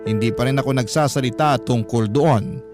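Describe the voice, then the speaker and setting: Filipino male narrator actor in role, mid-pitched, troubled and confessional, scripted radio love story with clear music bed. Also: fairly quick, close to an everyday voice. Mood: resigned, troubled. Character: troubled, embarrassed young man confiding his love story. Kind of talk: scripted first-person radio drama narration. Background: background music.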